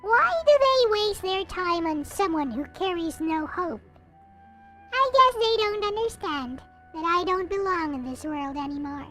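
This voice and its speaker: Woman voicing a high-pitched, gloomy, brooding young soul. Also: lively intonation, measured pace, a bit bright, faint music behind, exaggerated voice. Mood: bitter, despairing. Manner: melancholy, brooding, theatrical. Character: gloomy, bitter, hopeless young person going blind, self-pitying narrator. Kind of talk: dramatic reading of a visual novel.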